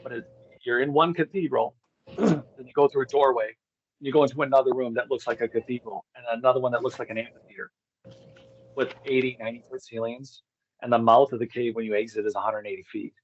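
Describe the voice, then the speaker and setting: A man's voice: mid-range voice, fairly even intonation, fast talker, slight background noise. A man speaking as a guest on a video call, explaining things in a casual, conversational way. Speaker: podcast guest, expedition organizer. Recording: slightly dull lo-fi call audio.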